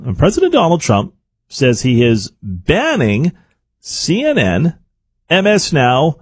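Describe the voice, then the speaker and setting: A man's voice: a little high, wildly swooping pitch, takes it slowly, slightly rough, no room sound, studio quality. A man presenting live talk radio and news updates as a show host.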